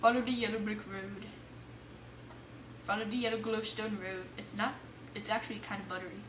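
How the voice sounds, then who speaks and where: A woman giving casual let's play commentary, excitable, exclaiming and muttering to herself as she plays. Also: mid-range voice, fairly quick. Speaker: gamer playing an adventure map for viewers. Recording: roomy echo, distant mic, faint noise.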